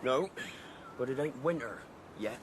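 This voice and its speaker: A man's voice: medium pitch, animated, a little slow, background noise, lightly acted. A man playing a sly, cautious farmer with a rural English accent, confiding in a radio drama. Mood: agreeing. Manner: rustic, confiding.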